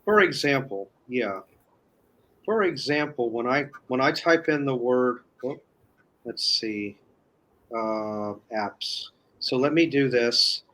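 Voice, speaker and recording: A man speaking as a guest in a casual chat, explaining step by step in a halting way. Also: mid-range voice, expressive, fairly bright tone. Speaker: guest, online video creator.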